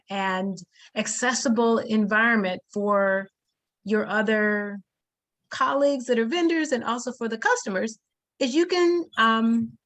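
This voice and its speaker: A woman's voice: fairly high pitch, a bit bright. A woman leading a group workshop over a video call, firm and directive. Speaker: workshop facilitator.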